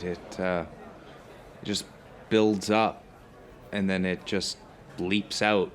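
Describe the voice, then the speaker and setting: An actor playing a hesitant, neurotic dinner companion in an audio drama, speaking in a halting, stammering, hedging way. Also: male voice, deep voice, close to an everyday voice. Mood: subdued agreement. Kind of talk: conversational audio drama dialogue.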